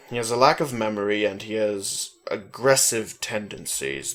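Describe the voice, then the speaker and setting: A man voicing a composed male doctor, firm but trying to be kind, speaking in a measured clinical way in a story reading. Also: on the low side, a bit bright.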